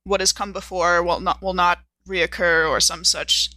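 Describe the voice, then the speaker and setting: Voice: mid-range voice, flat delivery, normal speed, bright, forceful tone, no echo. A player in a tabletop role-play game, talking casually.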